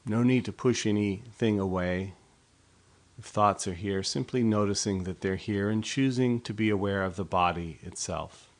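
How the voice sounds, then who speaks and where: A man leading a guided body scan meditation in a slow, soft, unhurried way. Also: lowish voice.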